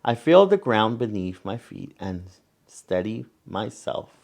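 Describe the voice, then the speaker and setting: A man with a fairly low, creaky voice reads a picture book to children. He goes very slowly and gently, leaving space between phrases and turning to the young listeners with little asides. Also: very animated. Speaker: children's storyteller and host.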